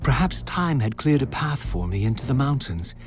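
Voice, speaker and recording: An actor playing a proud, sardonic undead wraith in a video game, speaking in a formal, theatrical and biting manner. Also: male voice, medium pitch, very animated, normal speed, lightly acted. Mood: brooding, solemn. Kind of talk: dramatic video game voice acting and narration. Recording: echoey, with music behind.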